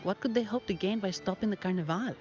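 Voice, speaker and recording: Actress as a composed bank agent, music behind. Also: female voice, medium pitch, fast talker, lo-fi sound, natural voice. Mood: pondering. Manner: matter-of-fact, composed. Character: composed, businesslike young female bank agent, quietly shrewd. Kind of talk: video game voice acting. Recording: band-limited game capture with loud music.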